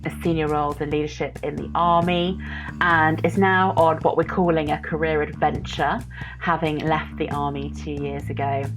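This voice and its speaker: A woman's voice: low-pitched, rough recording. A woman hosting a podcast, introducing it and her guest in a friendly, conversational style. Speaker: podcast host.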